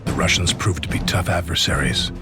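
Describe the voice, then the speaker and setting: A very low-pitched American officer , played by a man, narrates a war game's story. He is measured and reflective, like someone looking back on hard campaigns. Music plays under him. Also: close to an everyday voice. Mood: grave, measured. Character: weary male American army officer recalling the war. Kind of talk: scripted dramatic war narration.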